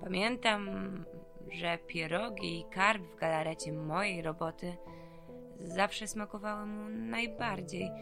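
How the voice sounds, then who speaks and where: A gravelly-voiced woman, weary and wounded, just out of prison. She speaks slowly in an audio drama, with music behind her. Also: medium pitch. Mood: pensive, wistful. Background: background music.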